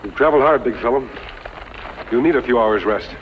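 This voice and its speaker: A man's voice: mid-range voice, brisk, lightly acted. A man playing a noble, resolute western hero in a 1950s radio drama, with an earnest, declamatory delivery. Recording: dull 1950s radio recording, faint noise.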